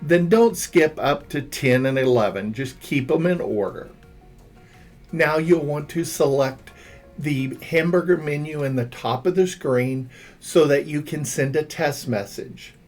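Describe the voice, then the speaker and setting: A man's voice: on the high side, a little slow, darkish tone. Man narrating a tutorial over music. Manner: step-by-step, instructional. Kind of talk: scripted instructional narration. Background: background music.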